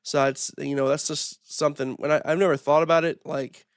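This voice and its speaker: A man hosts his own podcast alone, rambling through his opinions with fillers and restarts. His voice sits a little high and is hoarse from a recent illness. The recording is close and dry, with no room sound. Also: flat delivery, a little fast, no room sound. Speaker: podcast host. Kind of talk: solo wrestling opinion podcast.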